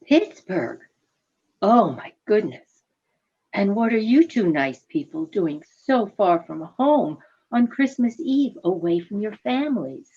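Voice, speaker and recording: A woman's voice: mid-range voice, sing-song, slow, dark tone, slightly rough, highs cut off, full character voice. A woman reading a gracious, affectionate female reindeer in a radio play, genteel and effusive. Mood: solicitous.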